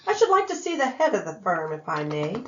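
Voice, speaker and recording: Woman as courteous, animated charity collector. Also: on the low side, fairly quick, highs cut off, slight background noise. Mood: polite. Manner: polite, formal, earnest. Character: courteous, earnest gentleman collecting charity for the poor. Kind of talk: family radio drama acting.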